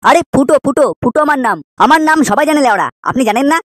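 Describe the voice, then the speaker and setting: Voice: high-pitched, animated, rapid-fire, a bit bright, dry recording, studio quality. A performer voicing a cocky, foul-mouthed young street troublemaker in a comedy cartoon, brash and whiny. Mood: indignant.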